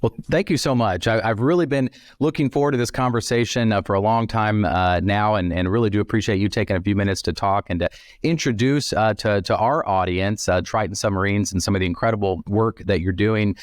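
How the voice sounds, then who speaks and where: A man with a fairly low voice speaks as a podcast host in a conversational interview style. His delivery is polite and somewhat halting. The recording is dry, with no room sound. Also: no room sound.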